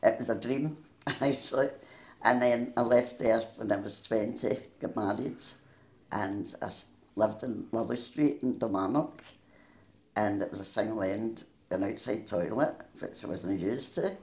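An older Scottish woman with a gruff Glaswegian voice tells stories from her life in a chatty way and laughs easily. She speaks into a handheld mic in a small room with a noticeable echo. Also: extremely low pitch, unhurried, a little mellow. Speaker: interviewee. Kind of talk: oral history interview. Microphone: handheld mic held near her.

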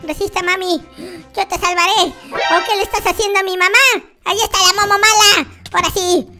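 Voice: very high voice, steady tone, fairly quick, over music. A gaming YouTuber voicing a boastful, whiny noob baby boy in exclamatory, babyish video game roleplay. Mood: determined.

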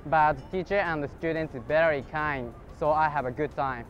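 A young man from Japan giving a short, halting testimonial outdoors. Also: on the high side, steady tone, dull audio, soft background music. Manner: halting, simple English. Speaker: student. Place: outdoors near a river.

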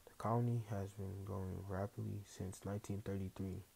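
A man's voice: sits low, flat delivery, fairly quick, mellow, rough recording. A man reading a scripted newsreel narration. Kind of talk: scripted narration read aloud. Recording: clear hiss behind the voice.

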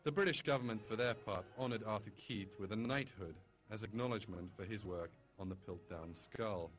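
A man with a low voice gives measured, formal documentary narration. Music plays clearly under his voice throughout. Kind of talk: scripted narration. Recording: documentary audio over music.

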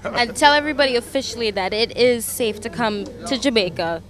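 A young woman interviewing at a festival, asking quick, eager questions into a handheld mic. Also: fairly high pitch, animated, a crowd in the background.

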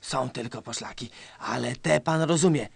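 A man's voice: medium pitch, moderate pace, creaky, slightly rough, no echo. A man acting a nervous, self-important army colonel who barks at subordinates in a satirical radio play. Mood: nervous, confiding. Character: jittery, pompous army colonel, male, satirical.

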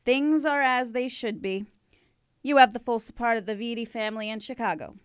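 A woman acting a vengeful crime matriarch, higher-pitched, clean tone, close dry recording, noir audio drama. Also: normal speed, clear, resonant tone, no room sound, natural voice. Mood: smug. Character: vengeful, grieving mob matriarch, menacing aunt.

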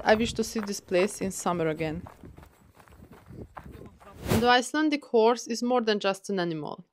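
Woman narrating a travel vlog. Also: mid-range voice. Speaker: travel vlogger.

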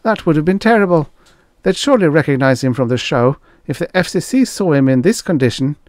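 A man voicing a nervous, eager male assistant in a spoken story, pitched well above his own voice. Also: lively intonation, fairly quick, a little mellow, no echo, studio quality, close to an everyday voice. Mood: anxious.

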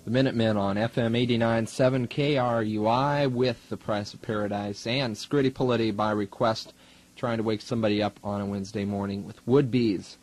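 A man's voice: on the low side, fairly even intonation, normal speed, darkish tone. A young American man working as a student radio DJ, announcing music and chatting in a casual, wry manner. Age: young adult. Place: radio studio. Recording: 1980s college radio aircheck tape.

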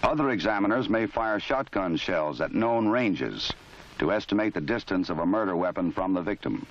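Low-voiced American man, dated training film narrator. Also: very animated, slight background noise. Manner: measured, authoritative, matter-of-fact. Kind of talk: formal scripted documentary narration. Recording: dull lo-fi 1970s film soundtrack.

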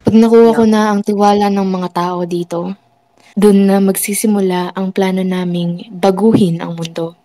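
Woman playing a mellow, smug villainous superhero. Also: medium pitch, steady tone, moderate pace, close to an everyday voice. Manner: smug, taunting. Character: gloating fake superhero woman with evil plans. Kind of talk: scripted game roleplay acting.

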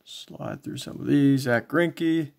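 A man casually commentating as he shows collectibles, in a low-key, folksy way, thinking aloud. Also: slow, vocal fry, a little mellow. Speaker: trading card collector and video host. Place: indoors, small home tabletop setup.

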